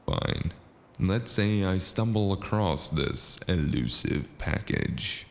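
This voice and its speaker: An actor playing a cynical, deadpan mercenary in a noir audio drama, with a deep gravelly voice. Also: male voice, slow pace, full character voice. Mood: grudging. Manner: sardonic, deadpan. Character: cynical, sarcastic mercenary man narrating his own noir tale.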